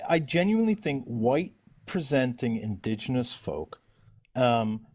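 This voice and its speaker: An Irish man in a casual live-stream chat, earnest and argumentative, explaining his view at length. His voice sits in an ordinary middle pitch. The sound is dry and close, with no room echo. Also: darkish tone. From Ireland. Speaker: livestream host.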